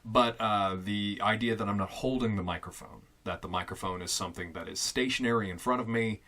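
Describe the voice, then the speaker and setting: A young man talking casually and loudly into a fixed studio mic in a small foam-lined closet, rambling with fillers and amused asides. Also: lowish voice, fairly quick. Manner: loud, chatty and self-amused, with many fillers and restarts. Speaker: vlogger testing a home studio. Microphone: fixed studio condenser mic on a stand.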